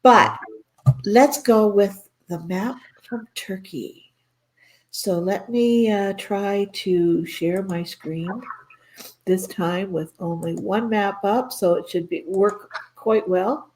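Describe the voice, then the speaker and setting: A woman's voice: medium pitch, takes it slowly, darkish tone, a little hiss or hum, poor audio. A woman hosting a live-stream interview on a video call, chatty and self-deprecating, laughing often. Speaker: host.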